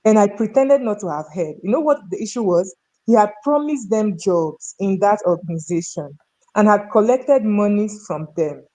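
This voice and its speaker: A woman's voice: medium pitch, moderate pace, mellow, rough recording. A woman with a Nigerian accent telling stories and giving opinions in a discussion, emphatic and fond of rhetorical questions. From North Central Nigeria. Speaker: human resources professional, talk show guest. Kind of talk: conversational storytelling and opinion in a discussion.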